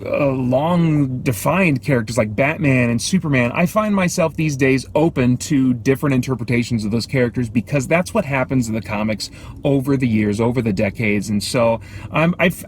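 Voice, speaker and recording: A man giving a casual, off-the-cuff film review, thinking aloud in a halting, measured way. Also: mid-range voice, slight background noise. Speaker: movie review host.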